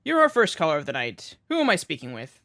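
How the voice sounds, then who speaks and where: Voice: mid-range voice, huge pitch swings, quick pace, sharp tone, dry recording, studio quality, full character voice. A performer playing a mysterious late-night paranormal radio show host, speaking in a theatrical, suspenseful way. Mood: mysterious, welcoming.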